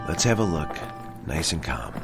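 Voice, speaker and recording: A man's voice: rumbling low, big pitch swings, moderate pace, vocal fry, fairly bright tone, faint music behind, full character voice. A man playing a firm, reassuring, commanding National Guard sergeant in a radio drama. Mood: calm command.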